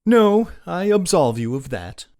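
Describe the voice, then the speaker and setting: An urbane bachelor gentleman for an audiobook drama, wry and polite. His intonation swings very widely as he teases with indolent amusement. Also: high voice, very animated, a little slow, exaggerated voice. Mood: amused, daring.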